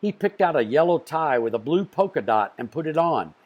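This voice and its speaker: A man reading a children's storybook aloud in an expressive way, with dramatic pauses. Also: on the high side. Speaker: storybook reader.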